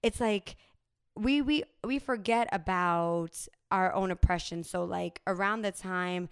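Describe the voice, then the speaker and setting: Smooth-voiced woman telling her story. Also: on the low side, a little slow, no echo, studio quality. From New Jersey, USA. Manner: animated storytelling with frequent fillers. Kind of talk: casual conversational chat.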